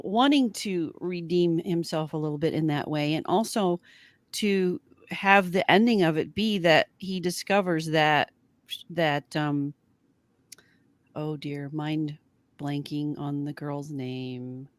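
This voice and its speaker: A woman co-hosting a casual fan discussion, thinking aloud in a halting way. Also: deep voice, no echo. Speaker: podcast co-host.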